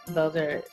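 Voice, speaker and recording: Giggly woman in her late twenties. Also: low voice. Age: late 20s. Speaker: guest. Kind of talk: casual group video call. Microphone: video call.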